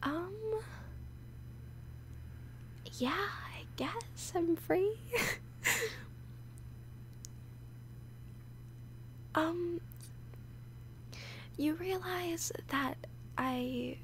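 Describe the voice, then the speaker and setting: A woman playing a nervous, awkward, obsessively lovesick young woman in an intimate audio roleplay, halting and often slipping into whispers. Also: high voice, vocal fry, slight background noise, exaggerated voice, poor audio. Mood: incredulous, shy. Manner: halting, hesitant, breaking into whispers.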